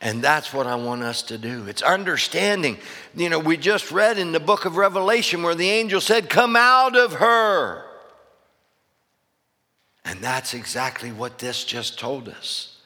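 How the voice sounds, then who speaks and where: A man preaching a loud sermon as pastor, hollering to the congregation and calling for amens, his voice going hoarse. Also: on the high side, lively intonation, unhurried. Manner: hollering, call-and-response preaching. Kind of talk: fiery sermon. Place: church sanctuary. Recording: long reverb of a church hall.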